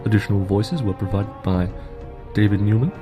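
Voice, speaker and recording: Lowish-voiced man announcing over music. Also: fairly quick. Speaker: credits announcer. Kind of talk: scripted credits narration.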